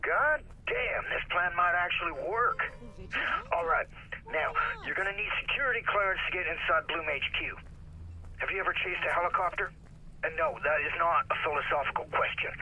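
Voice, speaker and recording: Sharp, cranky American on phone. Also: male voice, a little high, fairly quick, a little hiss or hum. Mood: wry. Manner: sardonic, folksy. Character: cranky, excitable older male hacker on the phone. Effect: phone call filter. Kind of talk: video game voice acting.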